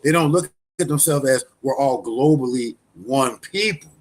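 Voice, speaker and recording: A man's voice: medium pitch, moderate pace. A man giving loose, conversational commentary, full of restarts and fillers, as a show host. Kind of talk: casual spoken commentary.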